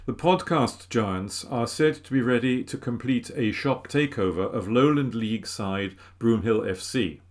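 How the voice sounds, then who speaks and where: A man reading newspaper articles aloud in a steady, even way, recorded at home. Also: mid-range voice, unhurried, few pauses. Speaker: volunteer newspaper reader. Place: home room.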